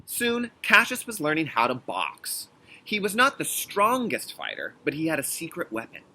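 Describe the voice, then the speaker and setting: Man, kindergarten teacher, bright voice, husky edge, animated pitch, emphatic storybook read-aloud, instructional asides. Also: moderate pace, poor audio. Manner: clear instructional phrasing with check-in questions; expressive, emphatic storybook reading. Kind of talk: children's read-aloud lesson with explanatory asides.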